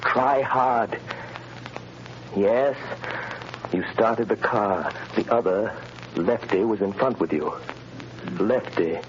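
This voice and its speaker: A man playing a mysterious invisible crime fighter, speaking in measured, authoritative commands in 1930s radio drama style. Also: fairly low pitch, dull audio. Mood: intent. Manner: measured, hypnotic, authoritative instructions. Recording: scratchy, hissy 1930s radio broadcast.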